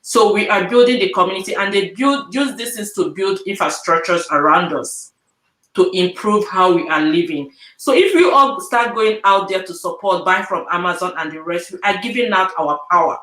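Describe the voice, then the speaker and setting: A woman's voice: medium pitch, moderate pace, fairly bright tone. A woman who runs a logistics business, speaking earnestly and persuasively on a panel, repeating her points, over a video call. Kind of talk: casual panel chat. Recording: echoey room on a video call.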